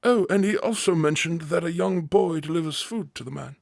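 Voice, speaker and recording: High-voiced deferential manservant. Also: male voice, brisk, creaky, no echo, clean studio sound. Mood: deferential, helpful. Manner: deferential, hesitant, explanatory. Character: deferential, helpful manservant, hesitant and proper. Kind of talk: radio drama acting.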